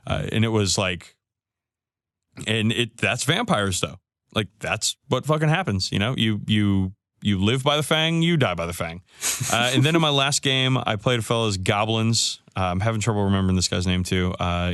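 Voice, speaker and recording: A man's voice: lowish voice, expressive, normal speed, a bit bright, dry recording, studio quality. A man co-hosting a podcast, casually recounting his games in a rambling way, full of restarts and fillers. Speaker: podcast co-host. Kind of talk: casual chat.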